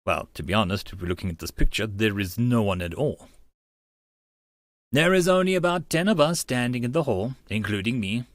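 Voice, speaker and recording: A man's voice: medium pitch, very animated, moderate pace, no echo, studio quality. A man narrating a visual novel aloud as a channel host, slipping into casual asides.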